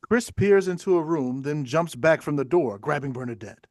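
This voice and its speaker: A man's voice: fairly high pitch, lively intonation, normal speed, a little mellow, dry recording, clean studio sound. A man reading stage directions aloud as scripted narration for a play.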